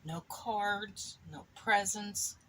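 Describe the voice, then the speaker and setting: A woman reading a picture book aloud expressively to a child, pausing for little asides. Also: medium pitch, fairly bright tone, slight background noise, low-quality recording. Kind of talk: animated storybook read-aloud to a child.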